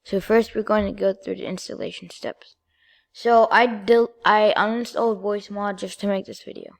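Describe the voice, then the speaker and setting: A female-sounding voice at a middling pitch walks through software steps. The delivery is informal and halting, in the manner of a homemade screen-recording tutorial. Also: fairly even intonation, vocal fry. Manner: informal, halting step-by-step instructions. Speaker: video creator giving a tutorial.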